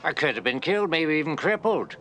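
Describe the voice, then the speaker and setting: An actor playing an indignant janitor recounting a close call. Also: male voice, on the high side, expressive, a little fast, heavy background noise. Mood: aggrieved. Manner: aggrieved, dramatic. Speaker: interviewee.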